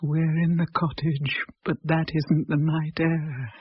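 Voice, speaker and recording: An actress playing a fragile woman who senses eerie things, halting and fraught, in a radio drama. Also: low voice, fairly even intonation. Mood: haunted. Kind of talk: British radio drama acting. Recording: dull, noisy old radio recording with clicks.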